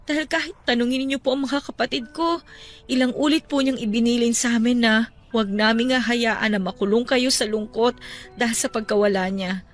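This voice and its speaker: An actress playing a gentle, respectful young adult daughter consoling her father in an emotional radio drama, earnest and pleading. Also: a little high, fairly even intonation, a little fast, lightly acted. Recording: music under the voice. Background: music.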